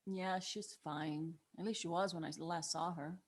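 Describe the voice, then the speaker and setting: A woman's voice: on the low side, steady tone, moderate pace, lightly acted. An actress playing a brash, rebellious twenty-one-year-old woman in a table read, flippant and defiant. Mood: bitter.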